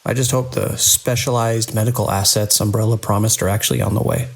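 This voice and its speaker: A man acting as a weary hospital director in a horror audio drama. His voice is husky with a gravelly fry, and he dictates in measured, formal phrases with a wry edge. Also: fairly low pitch, fairly even intonation. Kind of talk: scripted audio drama narration.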